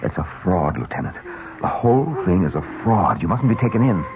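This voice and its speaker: An actor playing a nervous, defensive uncle with an agitated, hesitant delivery in a 1970s radio drama. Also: male voice, low-pitched, expressive, a little fast. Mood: defensive, angry. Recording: very noisy, tinny 1970s radio.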